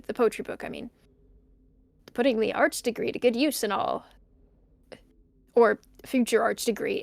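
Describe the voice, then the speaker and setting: Woman acting as lonely bookish student doing an audio diary, slow n halting, higher voice, really dry close sound. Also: gravelly, no echo. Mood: wistful, self-conscious. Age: young adult. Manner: halting, reflective, trailing off. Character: lonely, bookish young student recording tapes, wistful and hesitant. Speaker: actor in an audio drama. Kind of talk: intimate audio diary monologue.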